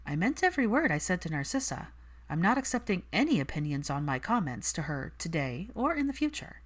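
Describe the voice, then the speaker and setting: Woman voicing an earnest, strong-willed young witch, lively intonation. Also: lowish voice, brisk. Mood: defensive. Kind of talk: acted audiobook dialogue.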